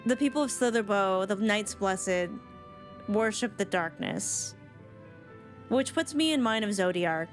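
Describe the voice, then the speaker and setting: A woman streamer giving casual live reaction commentary on a game, chatty and musing aloud. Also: medium pitch. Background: game music.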